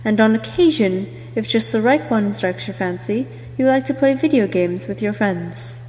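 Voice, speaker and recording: A woman's voice: medium pitch, lively intonation, moderate pace, darkish tone. A woman reading a scripted character introduction in the second person for an amateur voice reel. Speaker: amateur voice actor recording a voice reel. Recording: long hollow reverb.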